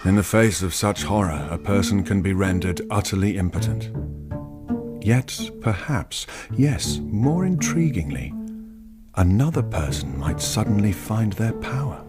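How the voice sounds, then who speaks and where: An actor playing a predatory, brooding narrator in a radio drama, theatrical and ominous. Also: male voice, lowish voice, big pitch swings, a little slow. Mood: ominous, knowing. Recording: loud plucked guitar music behind.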